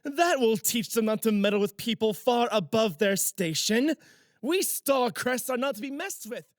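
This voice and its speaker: A man's voice: extremely high pitch, lively intonation, normal speed, no echo, studio quality. A man playing a pompous, menacing noble sorcerer villain in a fantasy audio drama, gloating and taunting theatrically.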